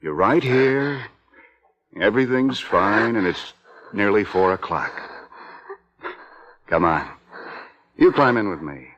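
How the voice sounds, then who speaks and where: An actor playing a gentle husband in a 1950s radio drama, tender and calming as he soothes. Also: fairly low pitch, slow, long pauses, heavily acted. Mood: soothing. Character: gentle, soothing husband comforting his wife. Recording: dull 1950s radio recording.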